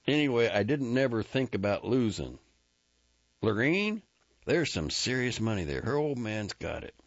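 A man reading aloud and voicing a restless, boastful young cowboy who talks in a rough, profane, rambling way. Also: fairly low pitch, no room sound, voice pushed up. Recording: dry, slightly muffled recording.

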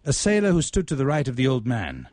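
A man reading his own literary short story aloud in a deliberate, measured, ornate manner. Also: mid-range voice, wildly swooping pitch, a little mellow, no room sound.

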